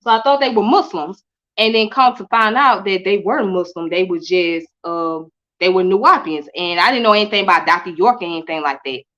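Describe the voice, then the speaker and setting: A woman raised in Atlanta, a guest telling her story in a relaxed, repetitive, colloquial way. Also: on the low side, fairly quick, dull audio. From Midwest-born, raised in Atlanta. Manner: repeats herself, relaxed, colloquial. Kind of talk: casual interview storytelling.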